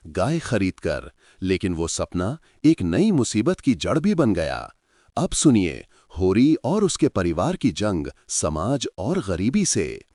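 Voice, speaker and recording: A man's voice: fairly low pitch, darkish tone, dry recording, studio quality. A man narrating a story in a dramatic scripted style, speaking to his listeners as friends.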